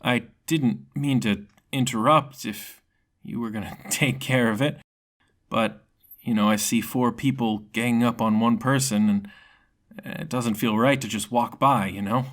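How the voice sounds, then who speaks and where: A man's voice: medium pitch, steady tone, close to an everyday voice. Man playing an earnest young demon swordsman. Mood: sheepish. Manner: earnest, halting, casual.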